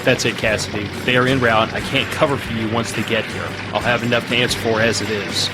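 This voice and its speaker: An actor playing a gruff, hard-pressed army major in an audio drama, brusque and authoritative. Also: male voice, fairly low pitch, flat delivery, quick pace, lightly acted. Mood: weary, brusque. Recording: loud music and outdoor vehicle noise behind.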